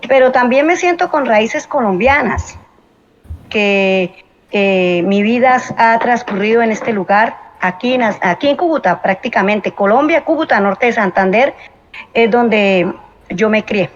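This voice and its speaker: A woman's voice: a little high, expressive, a little slow, a little hiss or hum. Woman, 40s, from Venezuela, interview guest, hesitant, thoughtful, muffled lo-fi recording. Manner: hesitant, thoughtful, many fillers. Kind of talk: personal interview.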